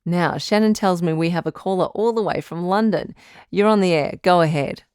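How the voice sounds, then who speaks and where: A woman playing a calm doctor who hosts a radio advice show in an audio drama, measured, articulate and reassuring. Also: on the low side, smooth, no echo, clean studio sound, close to an everyday voice. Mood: measured, supportive. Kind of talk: scripted audio drama as radio call-in host.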